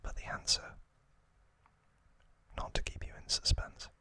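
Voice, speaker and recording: A man hosting ASMR trivia in a breathy, unvoiced whisper, speaking in drawn-out phrases with frequent pauses, close to the microphone. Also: sharp tone. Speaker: ASMR host.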